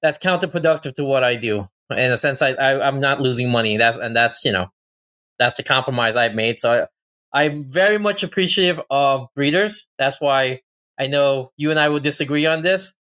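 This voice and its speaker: A man's voice: medium pitch, moderate pace, bright, forceful tone, clean tone. A man from Thailand chatting casually as a podcast guest, telling personal stories as a falconer. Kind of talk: casual personal storytelling and chat.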